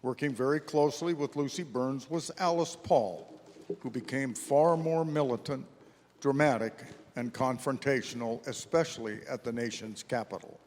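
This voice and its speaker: A man narrates from a script for a live readers theater. His pitch is middling for a male voice. Long hall reverb trails his words. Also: normal speed, darkish tone, slight background noise.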